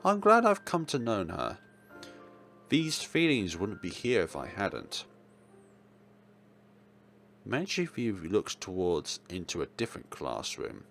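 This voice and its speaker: A man's voice: on the low side, wildly swooping pitch, takes it slowly, creaky, long pauses, fairly bright tone, soft background music. A man hosting a let's play, reading dramatic narration aloud with casual, jokey asides.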